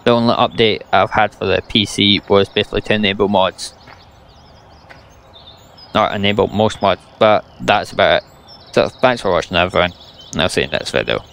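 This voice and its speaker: Young Scottish gamer, low gravelly voice, blunt and sarcastic rant delivery. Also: male voice, a little fast, pauses often, a bit bright, a little hiss or hum. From Scotland. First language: English. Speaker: gaming YouTuber. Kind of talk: ranting gameplay commentary.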